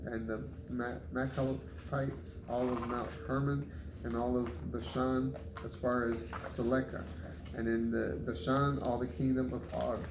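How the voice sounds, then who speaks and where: Male scripture reader, even and unvaried in pitch, stumbles on difficult names, roomy sound with background noise. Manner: halting, stumbles over unfamiliar names. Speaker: Bible reader. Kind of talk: reading scripture aloud. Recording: echoey room with clear background noise.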